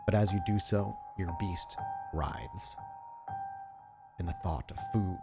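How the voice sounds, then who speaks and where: A man with a lowish voice acts as game master, narrating scenes of a tabletop roleplaying game. Music plays clearly behind him. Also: slow.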